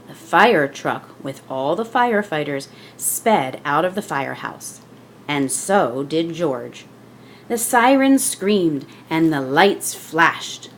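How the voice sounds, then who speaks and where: Expressive woman reading a children's storybook. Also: deep voice, slight background noise. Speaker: children's book reader. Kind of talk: scripted storybook narration for children.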